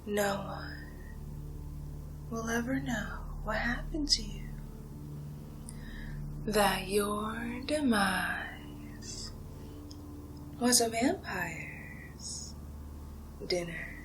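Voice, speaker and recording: A woman playing a seductive, menacing vampire in an ASMR horror roleplay, speaking in a breathy, drawn-out half-whisper with hypnotic, teasing menace. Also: mid-range voice, noisy background. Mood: soothing menace.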